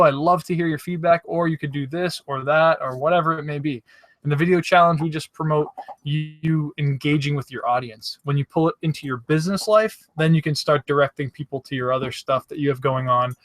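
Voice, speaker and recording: An upbeat male host coaching his viewers, his voice sitting a little high for a man. He keeps talking straight to the listener with lots of "you know" asides. The recording is dry, with no room sound. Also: a bit bright, dry recording. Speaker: video coach and live show host. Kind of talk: casual motivational coaching talk. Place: campsite.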